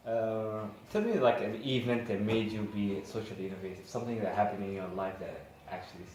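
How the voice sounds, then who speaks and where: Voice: medium pitch, fairly even intonation, darkish tone. Eager interviewer in a very echoey room. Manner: eager, chatty, quick to agree and laugh. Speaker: student interviewer. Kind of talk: casual interview questions. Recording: very echoey room with faint background noise.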